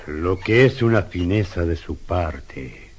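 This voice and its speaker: An actor in a radio drama playing a mysterious, courteous film actor with a formal, suave, deliberate manner. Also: male voice, low-pitched, lively intonation, husky, exaggerated voice. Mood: suave, persuasive. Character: mysterious, courteous male film actor offering to pose as devil.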